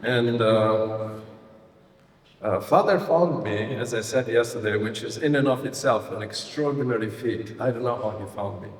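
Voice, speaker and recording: A middle-aged man, a priest giving an informal retreat lecture with wry humor, halting with fillers. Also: medium pitch. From Romania. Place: large hall. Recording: roomy echoing hall, voice from a distance.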